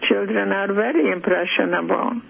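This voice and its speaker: An older woman whose first language is Hungarian, a guest in an interview, speaking slowly and hesitantly with many pauses and restarts. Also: medium pitch, vocal fry. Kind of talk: phone interview. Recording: tinny phone line, faint hiss.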